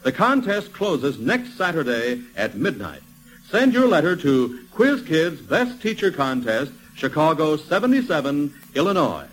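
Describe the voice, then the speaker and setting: A man's voice: on the high side, very animated, measured pace, low-quality recording. A man with an American accent reading scripted 1940s radio announcements as an instructive commercial announcer.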